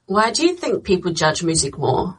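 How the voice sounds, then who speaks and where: A woman's voice: on the low side, big pitch swings, normal speed, husky. A woman hosting a radio interview, encouraging her guest with frequent short replies. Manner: encouraging, lots of short backchannels. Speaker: radio host.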